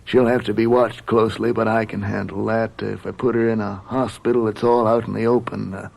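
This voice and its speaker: A kindly family doctor in a radio drama. His voice is markedly creaky and mid-pitched, and he reassures in a measured, slightly hesitant way. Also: level tone. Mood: reassuring. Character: kindly, discreet family doctor, old friend.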